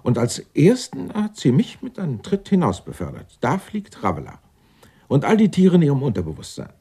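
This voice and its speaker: A man's voice: on the high side, huge pitch swings, a little fast, dark tone, husky, full character voice. An actor playing an eccentric, indignant, self-righteous clerk in his sixties in a radio drama, speaking in a florid, pompous, theatrical way. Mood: indignant, excited. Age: 60s. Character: eccentric, indignant 64-year-old Dutch tax-law clerk, self-righteous. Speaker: murder suspect being questioned.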